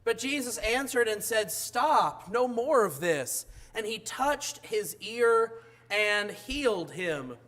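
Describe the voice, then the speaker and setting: A hoarse man delivers a church sermon in a high-pitched voice. He keeps stumbling into fillers and restarts as he speaks. His voice sounds rough and strained. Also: lively intonation, bright, forceful tone. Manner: halting, frequent uh fillers and restarts. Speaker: preacher.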